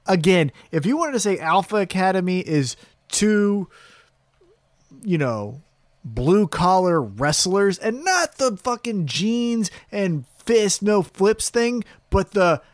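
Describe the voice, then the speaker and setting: Guy cohosting a wrestling podcast, cusses a lot, higher voice swinging all over, dry sound. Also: no echo. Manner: blunt, profane, animated. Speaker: podcast co-host. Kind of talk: casual wrestling chat.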